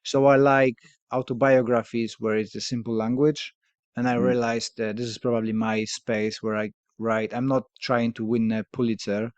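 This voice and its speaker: A man from Poland with a Polish accent, speaking English as a second language, hosting a podcast in a candid, hesitant way with fillers and soft laughs. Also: mid-range voice, flat delivery, moderate pace, clear, resonant tone, no echo. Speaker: podcast host. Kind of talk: candid casual conversation.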